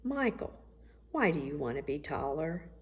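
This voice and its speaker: A woman voicing a caring, encouraging father in a children's storybook, speaking gently. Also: low voice, sing-song, moderate pace, pitched below the performer's own voice. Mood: gentle concern. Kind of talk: children's storybook read-aloud.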